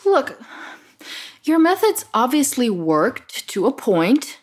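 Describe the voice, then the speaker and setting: Exasperated grown daughter, high and lively, slangy and pleading. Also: female voice, takes it slowly, exaggerated voice. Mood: frustrated. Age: young adult. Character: frustrated, needy, sarcastic adult daughter. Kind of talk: audio play acting.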